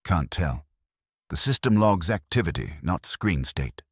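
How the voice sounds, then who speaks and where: A man's voice: deep voice, very animated, measured pace, slightly rough, no echo, clean studio sound, pitched above the performer's own voice, heavily acted. A man voicing a wary, matter-of-fact office tech worker in a detective audiobook, clipped and technical. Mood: revealing.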